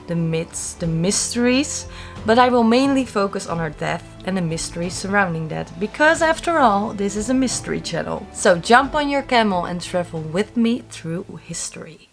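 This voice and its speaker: A woman's voice: medium pitch, lively intonation, normal speed, soft background music. Chatty young woman hosting a mystery channel. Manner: friendly, chatty storytelling with wry asides. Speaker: YouTube host of a mystery channel. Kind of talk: casual scripted talk to camera.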